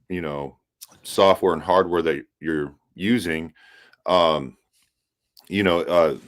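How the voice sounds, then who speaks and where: A man calling in to a livestream on a laptop's built-in microphone, speaking haltingly with lots of fillers. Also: sits low. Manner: halting, filler-heavy, self-conscious. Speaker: regular caller and panelist. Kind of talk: casual call-in chat.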